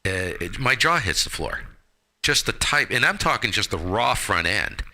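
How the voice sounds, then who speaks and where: Casual ham radio streamer: man, low forceful voice, emphatic and slangy. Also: sing-song, moderate pace. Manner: emphatic, colorful slangy talk. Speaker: amateur radio operator and streamer.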